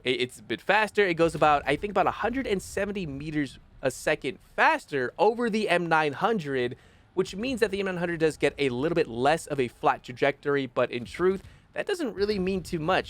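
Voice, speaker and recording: A young man with a phlegmy, rough voice commenting casually on a game, rambling and correcting himself as he gives his opinions. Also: fairly high pitch. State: phlegmy, rough voice after illness. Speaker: gaming video host.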